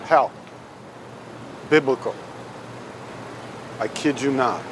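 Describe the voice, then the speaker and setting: A middle-aged man giving a halting, unscripted street interview outdoors near vehicles. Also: mid-range voice. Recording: noisy outdoor street with traffic.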